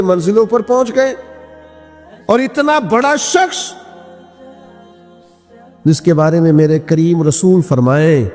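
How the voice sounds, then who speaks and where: Slow-paced male preacher in a reverberant hall. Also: on the high side, dark tone. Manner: rhetorical questions and emphatic repetition. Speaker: Islamic preacher. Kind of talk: impassioned sermon with recited verse and narrated religious stories. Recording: long hall reverb with music behind.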